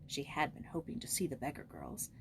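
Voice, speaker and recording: A woman reading a children's story aloud in expressive, scripted narration as an audiobook reader. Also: deep voice, a little fast, vocal fry, slight background noise, rough recording.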